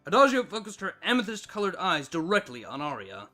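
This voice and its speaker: A man narrating a scripted story with dramatic, expressive delivery. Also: high voice, fairly quick. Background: background music.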